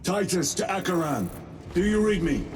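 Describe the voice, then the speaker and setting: An actor playing a stern, resolute lieutenant of space soldiers, terse and commanding, in a dramatic video game. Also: male voice, high voice, lively intonation, moderate pace, very noisy. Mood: grim, resolute.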